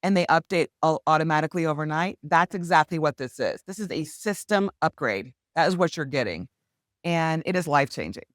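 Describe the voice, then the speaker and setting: A woman speaking as a podcast guest, telling her story in an earnest, heartfelt and animated way. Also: deep voice, fairly quick, no room sound, clean studio sound. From United States. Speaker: podcast guest, hypnosis healer. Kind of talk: candid conversational storytelling.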